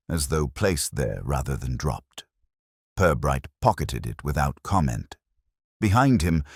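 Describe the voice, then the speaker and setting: Very deep-voiced male storyteller. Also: no echo, studio quality. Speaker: audiobook narrator. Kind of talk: scripted storytelling narration of a mystery.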